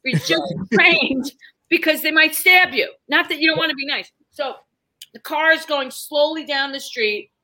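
A woman with a New York accent, telling lively stories as a podcast guest and acting out the people in them. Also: high voice, moderate pace, poor audio. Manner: animated, acts out voices in her stories. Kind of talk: casual storytelling chat.

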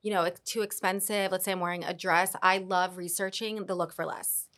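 A middle-aged woman chats as an interview guest, her voice sitting a little low. She is relaxed and talkative, filling her sentences with "like" and "you know.". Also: a little fast. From Dallas, Texas. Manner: chatty, lots of "like" and "you know" fillers. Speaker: guest, fashion influencer and mom. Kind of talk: casual interview chat.

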